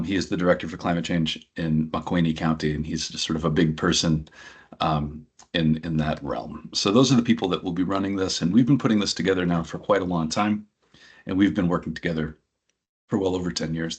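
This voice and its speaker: Husky low-voiced man explaining a program. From New Hampshire, USA. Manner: filler-heavy, conversational explaining. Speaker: program director and anthropologist. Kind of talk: information session presentation.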